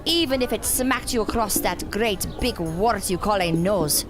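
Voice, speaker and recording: An actress playing a proud, sharp-tongued mystic in an audio drama, with formal, old-fashioned diction. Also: female voice, mid-range voice, lively intonation, a little slow, music in the background, close to an everyday voice. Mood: scornful.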